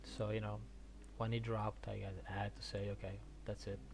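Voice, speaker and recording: Halting male game streamer, faint background noise. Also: fairly low pitch, monotone, vocal fry, darkish tone. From Argentina. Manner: halting, repeats words, thinks aloud. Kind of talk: casual rambling stream chat about games.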